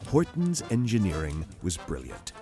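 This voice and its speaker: A man's voice: sits low, huge pitch swings, normal speed. A man giving scripted, storytelling narration for a cinematic audio drama. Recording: music bed under narration.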